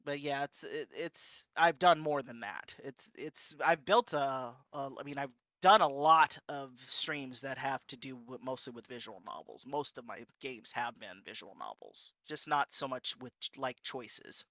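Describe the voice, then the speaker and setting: A man giving an informal panel talk and answering questions in a conversational, halting, self-correcting way. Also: mid-range voice, pushed and bright, no echo. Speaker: panel speaker and streamer.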